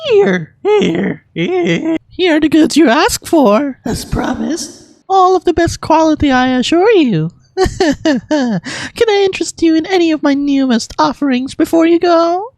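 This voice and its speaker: A woman voicing a greedy, scheming little female gremlin merchant in a video game, with wheedling, showy, drawn-out sales talk. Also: high voice, very animated, a little mellow, no echo, voice pushed up, heavily acted. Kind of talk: amateur video game voice acting.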